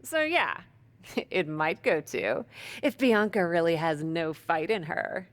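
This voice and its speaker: A woman's voice: on the low side, animated, takes it slowly, no echo. A woman playing a plucky, cocky wrestler in a video game cutscene, speaking in a casual, teasing way. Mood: confident, persuasive.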